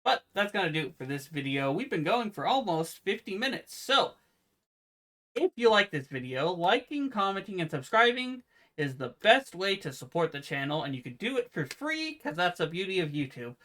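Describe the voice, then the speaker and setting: A man co-hosting a casual podcast, with a naturally quiet voice, explaining card game rules in a relaxed, meandering way. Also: high voice, moderate pace. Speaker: podcast co-host. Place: home room with fan noise removed by software.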